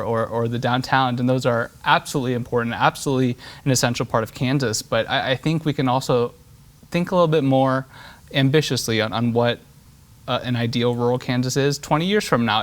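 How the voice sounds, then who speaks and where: A man in his thirties, from Switzerland, answering political interview questions earnestly, often repeating words as he thinks. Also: medium pitch. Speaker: state representative guest.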